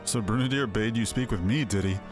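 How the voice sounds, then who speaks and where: A man's voice: on the low side, fairly quick, close to an everyday voice. A man voicing a modest, reluctant knight in a fantasy video game, with courteous, formal, archaic speech. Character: modest low-ranking male knight, reluctant but courteous. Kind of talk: video game character dialogue, read aloud. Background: music.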